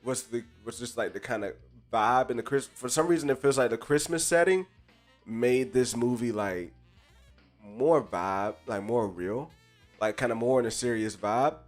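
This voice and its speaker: A man's voice: medium pitch, moderate pace. A man hosting a live stream in casual chat, stuffy-nosed and under the weather, talking in hyped slang and greeting chatters by name. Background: faint live jazz music.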